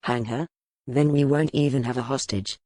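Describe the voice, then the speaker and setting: A man's voice: medium pitch, fairly even intonation, normal speed, darkish tone, no echo, lightly acted. Man playing a grim medieval duke, dry sound. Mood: grim, resolute. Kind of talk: dramatized audiobook dialogue.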